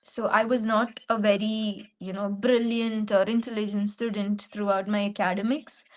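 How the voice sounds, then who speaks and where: A young woman from Kerala with an Indian English accent, an English tutor speaking in a measured, reflective way in a practice conversation. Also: mid-range voice, little pitch movement.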